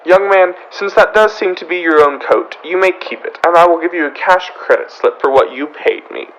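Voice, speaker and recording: Young man as high-voiced fussy store floorwalker. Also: normal speed, a bit bright, muffled recording. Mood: apologetic. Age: young adult. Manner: repeats phrases for emphasis. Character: fussy, harried, pleading department store floorwalker, prim man. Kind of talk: old-time radio comedy acting.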